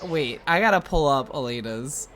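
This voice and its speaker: A man streaming a game live, chatting casually, excitable and laughing often, loud when he gets excited. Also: a little high, normal speed, soft background music. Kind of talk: casual livestream chat while gaming.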